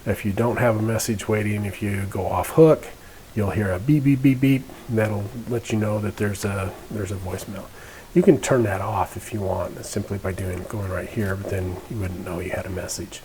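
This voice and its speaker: Low-pitched mellow man giving a tutorial. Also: a little hiss or hum, low-quality recording. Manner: unscripted, halting, step-by-step explaining. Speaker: instructor, company representative presenting a tutorial. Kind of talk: informal software tutorial narration.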